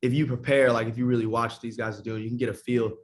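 A man's voice: medium pitch, little pitch movement, quick pace, slight background noise. A young man, a college football player, giving a casual interview answer about his sport. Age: young adult.

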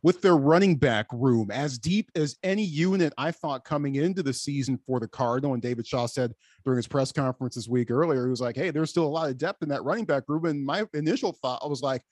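An American man hosting a sports show, talking in a conversational, animated way. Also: mid-range voice, fast, hardly any pauses, no echo.

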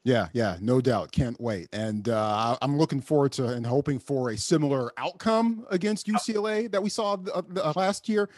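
A man's voice: medium pitch, moderate pace, hardly any pauses, a little mellow, no room sound, clean studio sound. A man hosting a sports talk podcast, chatting conversationally and repeating words for emphasis. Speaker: podcast host.

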